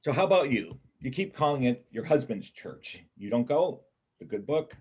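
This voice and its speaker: A man playing a wry, self-doubting ex-convict loner with a husky voice and blunt, colloquial speech in a reader's theater play. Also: medium pitch, lively intonation, normal speed, a little mellow, highs cut off. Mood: wry, probing. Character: Kip, wounded ex-convict drifter, bookish loner, self-doubting. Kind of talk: stage reader's theater dialogue.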